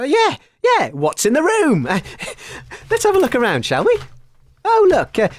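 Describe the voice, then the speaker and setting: A man's voice: sits high, no room sound. A man hosting a comic radio show, hesitant and full of fillers, easily startled.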